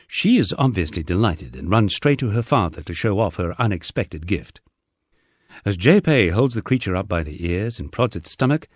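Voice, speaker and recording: A man's voice: lowish voice, no echo, clean studio sound. A man reading a humorous memoir as scripted audiobook narration, with a wry storytelling manner.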